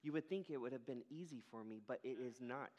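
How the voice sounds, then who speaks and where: A man's voice: medium pitch, fast talker, darkish tone. A pastor preaching a sermon to his congregation in an earnest, emphatic manner, with falling cadences. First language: English.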